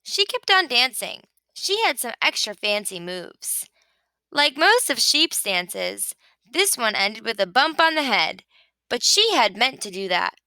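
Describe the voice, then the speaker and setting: A woman reading a children's picture book aloud with expressive narration. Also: a little high, measured pace, dry recording, poor audio. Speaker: storybook reader.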